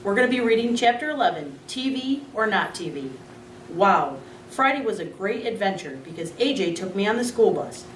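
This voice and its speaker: A woman, a school secretary and parent, reading a children's novel aloud in an expressive storybook manner. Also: medium pitch, normal speed, a little hiss or hum. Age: adult. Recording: roomy, distant mic.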